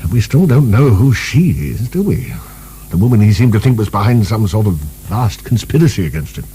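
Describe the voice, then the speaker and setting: Mellow, musing asylum director. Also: male voice, on the low side, big pitch swings, brisk, low-quality recording. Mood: earnest, musing. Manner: musing, searching, hesitant. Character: earnest, fanciful, hesitant male asylum director. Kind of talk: radio drama acting. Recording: soft recording with faint hum.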